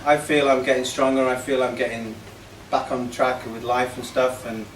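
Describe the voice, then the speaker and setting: A man in his fifties giving an impromptu, sincere thank-you speech in a halting way. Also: medium pitch, little pitch movement. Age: 50s. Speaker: birthday honoree giving a speech. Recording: echoey, noisy room, distant microphone.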